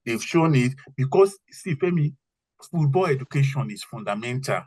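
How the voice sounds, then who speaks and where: A man's voice: mid-range voice, expressive, moderate pace, darkish tone, slightly rough. A man with a mid-range voice discusses football as a pundit. He states his opinions with emphasis and spells out his reasoning. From Africa. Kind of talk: opinionated sports analysis.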